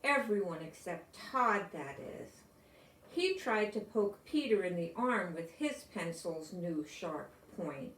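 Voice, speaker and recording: An older woman with an American accent reading a children's story aloud, deliberately and explaining things as if to young listeners. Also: lowish voice, animated, a bit bright, a little hiss or hum. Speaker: storybook reader. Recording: roomy echo, distant from microphone.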